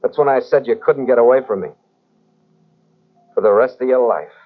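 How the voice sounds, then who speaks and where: A man playing a cold, menacing husband back from the dead in an old radio drama, slow and quiet. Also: medium pitch, lightly acted. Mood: quietly ominous. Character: menacing returned husband, Nicky, cold and calm. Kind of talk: 1940s radio acting. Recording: tinny narrow old radio tape.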